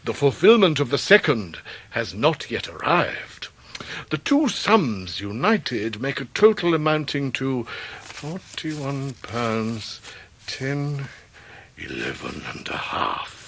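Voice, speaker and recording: An English actor in a period radio drama, playing a pompous, grandiloquent middle-aged gentleman who is forever in debt, with florid, deliberate delivery. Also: mid-range voice, very animated, husky, heavily acted. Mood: solemn, self-important. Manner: florid, orotund, deliberate pauses.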